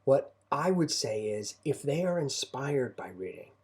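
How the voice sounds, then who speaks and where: A middle-aged man talking conversationally as a parent and co-host, reflective and halting, often repeating phrases. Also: medium pitch, big pitch swings.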